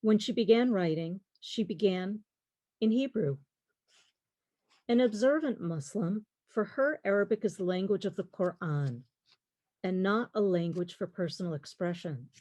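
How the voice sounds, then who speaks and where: A middle-aged woman lecturing on literature, reading in a measured, deliberate way with pauses. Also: mid-range voice, warm tone, dry recording. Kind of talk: prepared lecture, reading quotes and poems aloud.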